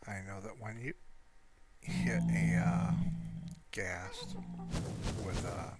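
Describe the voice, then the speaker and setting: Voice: low-pitched, sing-song, takes it slowly, vocal fry, over music. A gamer casually narrating their own gameplay, thinking aloud in a rambling, easygoing way over a noisy home recording.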